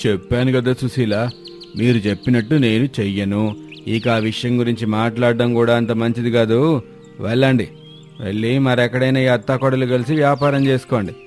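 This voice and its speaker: A man's voice: medium pitch, steady tone, moderate pace, a little mellow, soft background music, close to an everyday voice. A man playing a firm, fair-minded village shop owner with an admonishing manner in a cartoon moral story. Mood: firm refusal.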